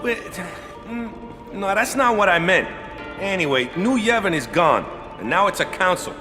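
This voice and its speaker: Long reverb and loud music surround a folksy village guardian. His voice is fairly high and lively, informal and plain-spoken, in audio drama style. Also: measured pace. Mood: patient explaining. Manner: folksy, informal, ends phrases with "yeah" and "eh".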